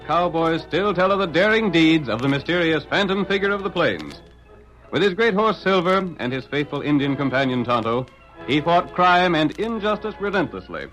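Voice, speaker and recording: Man, 1930s radio announcer, theatrical narration, fairly high voice, very lively pitch. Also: rough recording. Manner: sweeping theatrical delivery.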